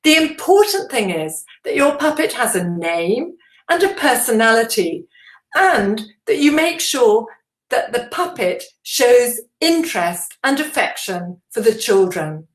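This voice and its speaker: A woman giving an instructional presentation to teachers as a trainer, explaining in a measured way with deliberate emphasis. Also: fairly high pitch, roomy sound, low-quality recording.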